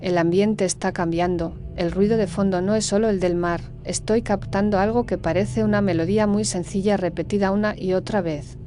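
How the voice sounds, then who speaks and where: A woman plays an uneasy, watchful crew member in an audio horror tale. Her voice is mellow and mid-pitched, with faint music behind it. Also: fairly even intonation, moderate pace, few pauses, natural voice. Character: Marta, uneasy woman on a ghost-hunting crew. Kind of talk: horror audio story acting.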